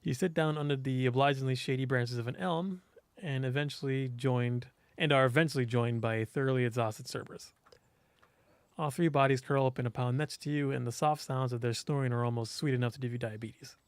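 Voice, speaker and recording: Guy reading visual novel narration out loud, expressive, normal pitch, really dry sound. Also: a little fast, dry recording. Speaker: host reading a game aloud.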